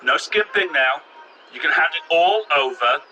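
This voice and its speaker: A man's voice: a little high, expressive, moderate pace, highs cut off, background noise, close to an everyday voice. A man acting an angry, grasping landowner in an audio drama, blustering and indignant. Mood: grudging, smug. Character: angry, grasping male landowner with a grudge against trespassers.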